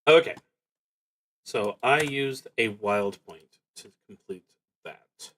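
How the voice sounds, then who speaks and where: Slow-paced man streaming a solo tabletop game. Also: on the low side, sharp tone, slight background noise. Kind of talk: casual game play narration, thinking aloud and reading prompts.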